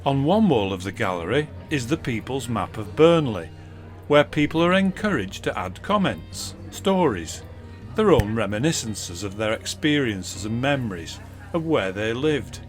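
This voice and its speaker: A man's voice: mid-range voice, big pitch swings, a little slow. A man reading scripted documentary narration for a local newsreel. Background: music with guitar.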